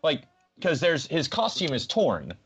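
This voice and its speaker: A man's voice: a little high, wildly swooping pitch, measured pace, fairly bright tone, husky, lo-fi sound. A man giving his opinions in a casual podcast discussion as a co-host.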